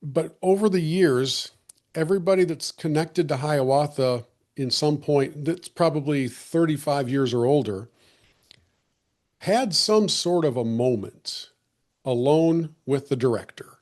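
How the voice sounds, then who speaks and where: A man hosting an interview podcast, speaking in a reflective, measured way with long, flowing questions. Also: a little high, expressive, darkish tone. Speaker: podcast host.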